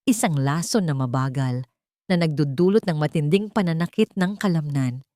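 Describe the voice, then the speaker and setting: Casting: female reader as calm, grave wronged wealthy man in a romance audiobook, guarded delivery, dry studio sound. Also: fairly low pitch, dry recording. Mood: bitter, intense. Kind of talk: dramatic audiobook dialogue.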